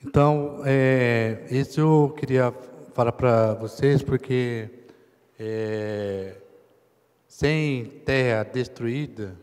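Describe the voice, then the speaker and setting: Man from brazil, slow halting speech full of fillers, big echoey hall. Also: mid-range voice, a little mellow, smooth. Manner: hesitant, many fillers, slow pauses. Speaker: chief's grandson receiving an honor. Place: ceremony hall. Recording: long reverb in a big hall.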